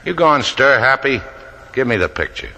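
An actor playing a tough, wisecracking adventurer with a clipped, deadpan delivery in 1940s-50s radio drama style. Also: male voice, medium pitch, normal speed, vocal fry, full character voice, low-quality recording. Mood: sardonic. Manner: hard-boiled, clipped, deadpan. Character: tough, wisecracking adventurer hero, coolly sardonic.

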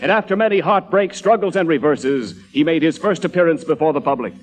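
A man's voice: on the high side, fairly quick. An American man announcing and narrating in a scripted, mock-grand 1940s radio style.

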